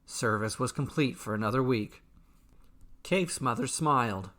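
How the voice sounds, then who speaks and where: Bright-voiced man narrating a fantasy tale. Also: medium pitch. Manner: measured, dramatic, short deliberate phrases. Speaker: storyteller. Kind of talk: scripted fantasy bedtime narration.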